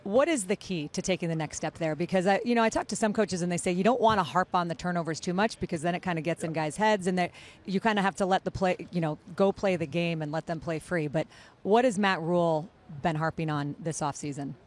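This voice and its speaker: A woman's voice: fairly low pitch, fast talker, darkish tone. A woman hosting a TV sports interview, asking chatty, upbeat, long-winded questions. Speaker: TV sports host.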